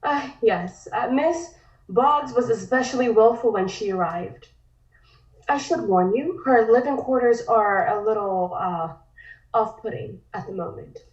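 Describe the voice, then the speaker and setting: A woman's voice: on the high side, measured pace, reverberant, dull audio, lightly acted. A woman playing a discreet, uneasy sanitarium orderly in a script table read, polite and hesitant.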